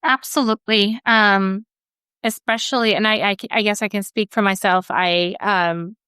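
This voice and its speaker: A woman's voice: medium pitch, steady tone, moderate pace, bright, forceful tone, clear, resonant tone, dry recording, clean studio sound. A woman from Sweden who grew up there and now works in HR, answering interview questions in a hesitant way with many fillers and restarts. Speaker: HR guest.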